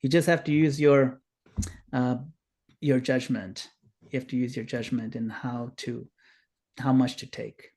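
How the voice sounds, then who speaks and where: A middle-aged man from Kenya talks over a video call. His voice is gravelly and sits mid-pitch. He explains in an earnest, unhurried way, with small fillers. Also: little pitch movement, a little mellow. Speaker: guest speaker. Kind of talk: informal spiritual talk.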